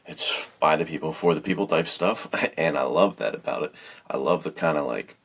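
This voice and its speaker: Deep, creaky-voiced man chatting casually. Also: moderate pace, fairly bright tone. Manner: informal, enthusiastic, rambling. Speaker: podcast co-host. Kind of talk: casual podcast chat.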